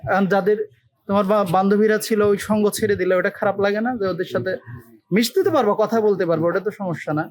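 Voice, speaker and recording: A man's voice: high-pitched, steady tone, fast talker. A man with a Bangladeshi Bengali accent hosting an on-location interview, asking informal, probing questions. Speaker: interviewer and channel host. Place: on location in a village. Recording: crowd chatter behind the voice.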